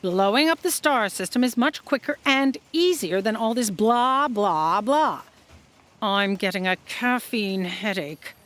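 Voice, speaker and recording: Woman playing an imperious, animated lady boss. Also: medium pitch, unhurried. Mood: dismissive. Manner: pompous, commanding. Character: imperious, impatient female boss, coffee-craving and dismissive.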